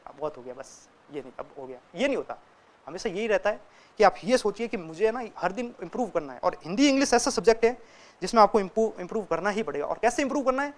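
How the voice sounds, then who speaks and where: A young man, a student, giving casual, direct advice to other students and often checking in with them as he talks. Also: sits high. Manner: chatty and direct, keeps checking in with listeners. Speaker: student sharing exam tips.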